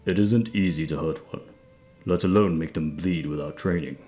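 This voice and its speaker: Very deep-voiced knowing old hunter played by a man. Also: mellow, soft background music, close to an everyday voice. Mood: grave, knowing. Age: older. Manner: measured, formal, knowing. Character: old pipe-smoking male vampire expert, measured, kindly. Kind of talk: audio drama character acting.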